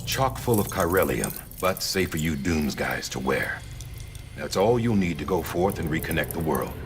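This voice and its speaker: An actor playing a commanding middle-aged official in a video game, speaking in a measured, authoritative and persuasive way. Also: male voice, rumbling low, very animated, background noise, exaggerated voice. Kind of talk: dramatic video game cutscene acting, expository.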